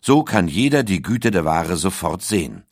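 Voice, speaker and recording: A man reading a scripted documentary audiobook in a measured, articulate storytelling manner. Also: deep voice, sing-song, breathy edge, no room sound, clean studio sound. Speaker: narrator.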